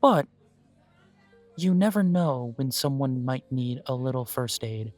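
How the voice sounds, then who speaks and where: A man voicing a polite male elf who owns a coffee shop, interviewing the listener for a job in a calm, formal way. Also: mid-range voice, unhurried, soft background music, lightly acted. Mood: courteous. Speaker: shop manager interviewing an applicant. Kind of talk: audio roleplay, talking to the listener.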